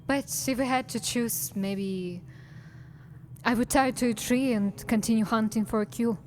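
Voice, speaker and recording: A woman playing a wry, guarded dark elf assassin in a fantasy game, dry and sardonic in her delivery. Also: on the high side, a little slow, slight background noise, natural voice. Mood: firm, guarded. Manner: dry, sardonic, muttering to herself. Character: wry, guarded female drow assassin adventurer. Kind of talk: acted fantasy game dialogue and monologue.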